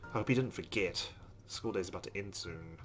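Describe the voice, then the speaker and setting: A man's voice: sits low, moderate pace, a bit bright, soft background music. A man reading a visual novel aloud as dramatic narration for a let's-play.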